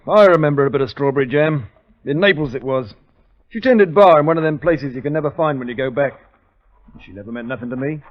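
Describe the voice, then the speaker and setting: An actor playing a plain-spoken, deferential army sergeant with folksy talk, in 1950s radio drama style. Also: male voice, a little high, fast talker, close to an everyday voice. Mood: easygoing, reflective. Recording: muffled vintage radio recording.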